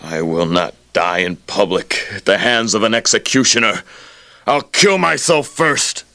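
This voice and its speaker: A man playing an excitable Roman jailer in a dramatized Bible audio drama, with exclamatory delivery. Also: on the low side, measured pace, recorded from a distance. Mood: despairing. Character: excitable Roman jailer, first frantic, then humbled.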